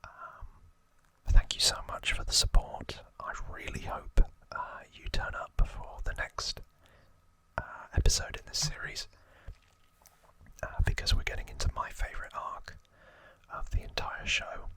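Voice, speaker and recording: A man speaking in a breathy, gentle whisper, hosting an ASMR trivia explainer close to the microphone. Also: low-quality recording. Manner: slow, gentle, hushed delivery. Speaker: ASMR video creator and host.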